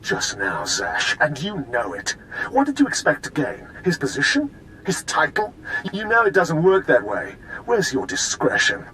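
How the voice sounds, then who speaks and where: A stern dark lord from a video game, imperious and accusing. His voice is fairly high for a man, with clipped phrases and wide, dramatic swings. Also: wildly swooping pitch, a little slow, slight background noise, heavily acted, poor audio. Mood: cold anger.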